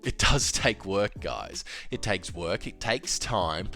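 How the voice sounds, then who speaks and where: Male host, lowish stuffy voice, animated casual market talk, faint music behind. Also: slow, gravelly. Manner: animated, emphatic, with filler and restarts. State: congested, slightly nasal. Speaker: crypto trading educator. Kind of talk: casual solo video talk.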